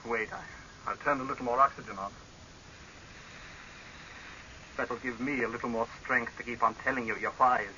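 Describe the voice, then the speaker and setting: A man's voice: medium pitch, bright, forceful tone, lo-fi sound. An actor playing a bitter, vengeful citizen turned on his tyrant, delivered with melodramatic intensity in a 1930s radio horror drama with a hissy recording. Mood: grim, vengeful.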